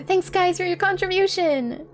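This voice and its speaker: A giggly, expressive woman hosting a casual livestream, chatting with viewers and reading a story aloud. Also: very high-pitched. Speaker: livestream host. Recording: music playing behind the voice. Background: background music.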